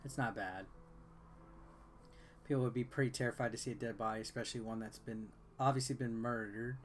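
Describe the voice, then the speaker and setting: A man's voice: mid-range voice, steady tone, a little fast, slight background noise. A man doing casual, bored-sounding gameplay commentary, reading dialogue aloud and adding sarcastic asides. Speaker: video game reviewer.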